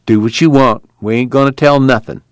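A man's voice: medium pitch, steady tone, brisk, no room sound, studio quality, voice pushed up, close to an everyday voice. A man voicing an indignant young migrant worker with a drawl. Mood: defiant. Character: angry young male migrant worker, defiant.

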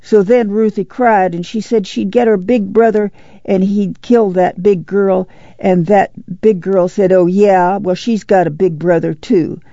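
A woman's voice: mid-range voice, unhurried, gravelly, mellow, no room sound, highs cut off. A woman voicing an eager, anxious little farm boy in a storybook, rambling breathlessly. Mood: breathless, excited. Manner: breathless, rambling rural dialect.

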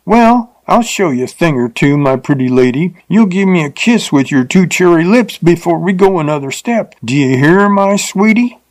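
A man voicing an uncouth, leering frontier rider with a rough drawl and bad grammar. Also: a little high, animated, unhurried, darkish tone, pitched above the performer's own voice. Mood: jeering, drunk.

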